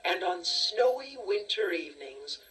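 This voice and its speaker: A woman narrating a children's story from a script in a deliberate, expressive storytelling manner. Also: on the low side. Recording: distant cassette audio with music.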